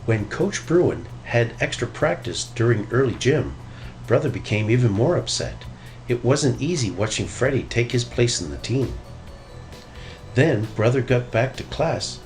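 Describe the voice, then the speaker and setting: A man narrating a children's story in an expressive read-aloud style. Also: mid-range voice, unhurried, faint music behind, low-quality recording. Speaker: storybook reader.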